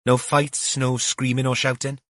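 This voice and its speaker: A man voicing a brisk woman detective in an audiobook, questioning in a direct, pressing manner. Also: mid-range voice, little pitch movement, fairly bright tone, no echo, clean studio sound, voice pushed up, lightly acted.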